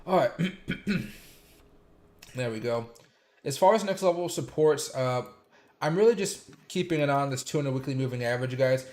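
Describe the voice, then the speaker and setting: A man hosts a casual crypto livestream, his voice slightly sore and raspy from streaming every day. He rambles through chart talk with plenty of fillers and stops to greet viewers in chat by name. His pitch sits in the middle range for a man. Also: animated, normal speed, a little mellow.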